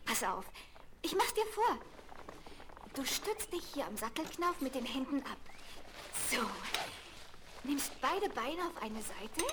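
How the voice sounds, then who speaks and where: A high-voiced, high-spirited little circus girl, cheeky and bossy in a children's radio play. Her delivery swings widely and takes its time. It comes from an old vinyl record with clear surface noise. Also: very animated, takes it slowly, pauses often, full character voice. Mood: eager, encouraging. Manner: exuberant, commanding, teasing. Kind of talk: German children's radio play acting, 1970s. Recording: noisy 1970s vinyl transfer.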